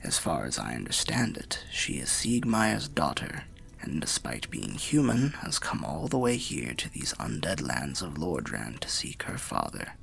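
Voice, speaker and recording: A man playing a weary, wry undead storyteller in an ASMR fantasy roleplay, confiding in a breathy, gravelly half-whisper with old-fashioned phrasing. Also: extremely low pitch, big pitch swings, unhurried, fairly bright tone, exaggerated voice. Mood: wry, musing.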